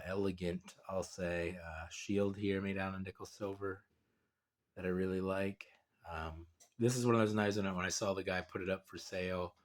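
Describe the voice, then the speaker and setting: Man, deep voice, congested with a cold, easygoing rambling collector's review. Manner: relaxed, rambling, many fillers. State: stuffed up with a cold. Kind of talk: casual product review.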